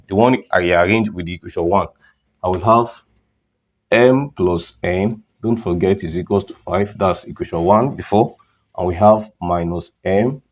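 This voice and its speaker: A man's voice: on the low side, darkish tone. A man with a cold teaching a maths lesson, explaining step by step and putting questions to the students. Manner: repeats words and restarts phrases. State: has a cold.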